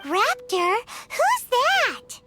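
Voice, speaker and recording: A woman's voice: very high voice, wildly swooping pitch, very slow, sharp tone, soft background music, full character voice. A woman voicing a tiny, chirpy, curious childlike fairy companion in a video game, exclaiming and talking about herself in the third person. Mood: curious, excited. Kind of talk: animated video game acting.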